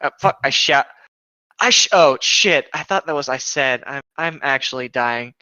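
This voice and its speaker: A man's voice: medium pitch, lively intonation, moderate pace, sharp tone, no room sound. A man reading a story aloud as a co-host, with jokey, sweary asides, on a slightly dull mic.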